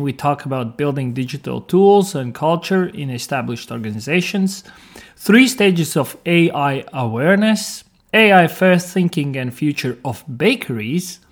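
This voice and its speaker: A man hosting an interview podcast, presenting and talking with his guest. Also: fairly high pitch. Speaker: podcast host.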